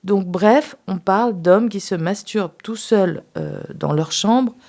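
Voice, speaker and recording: A woman who is a sex therapist, a guest on a radio talk show, speaking in a measured, thoughtful way with some hesitation. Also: mid-range voice, vocal fry, a little mellow. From France.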